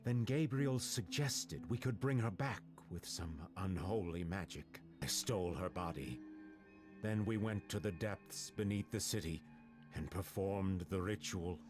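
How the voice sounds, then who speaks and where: A man playing a grave, secretive academy doctor in a video game, with deliberate, solemn delivery. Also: sits low. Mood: grave, regretful. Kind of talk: dramatic video game voice acting. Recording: music behind the voice.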